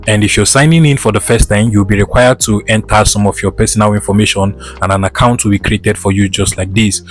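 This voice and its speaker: A man presenting a tech tutorial in step-by-step narration, with an instructional, methodical way of talking. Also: on the low side.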